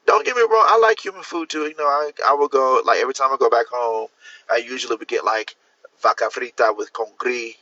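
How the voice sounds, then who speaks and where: Bright-voiced man chatting on a livestream. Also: brisk, lo-fi sound. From Miami. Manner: rambling, restarts, swings up in pitch for emphasis. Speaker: author hosting a livestream. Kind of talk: casual livestream chat. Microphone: phone or computer mic.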